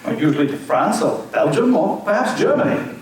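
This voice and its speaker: A man's voice: high-pitched, very animated, fairly quick. An older man acting a wry, evasive Irish book reviewer in an amateur stage reading, mild and defensive. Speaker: community theater actor. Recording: echoey hall, mic far off, faint hiss.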